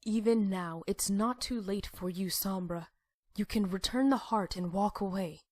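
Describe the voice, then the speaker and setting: Woman as a gentle, earnest pony princess. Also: medium pitch, natural voice. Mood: calm, pleading. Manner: measured, earnest. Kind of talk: dramatic comic dub acting.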